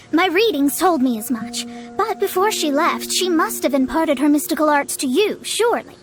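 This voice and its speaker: Proud young female scholar, very high voice, theatrical formal wording, exasperated temper, video game, faint music. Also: lively intonation, normal speed. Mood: formal, insistent. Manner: formal, haughty, theatrical phrasing. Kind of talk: scripted video game voice acting. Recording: studio voice over game music.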